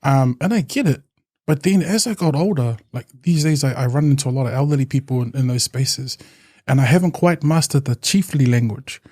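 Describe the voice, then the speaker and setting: Samoan-New man, mellow and reflective. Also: mid-range voice, expressive, brisk, no echo, studio quality. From New Zealand. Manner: reflective, with many restarts and fillers. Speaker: podcast guest. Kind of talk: casual interview talk.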